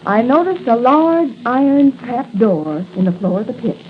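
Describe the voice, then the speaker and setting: Spunky old time radio girl reporter, lively swoops, dull hissy recording. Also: fairly high pitch, moderate pace. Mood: plucky, eager. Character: plucky, eager young woman newspaper reporter. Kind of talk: 1940s radio acting. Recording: muffled noisy 1940 radio recording.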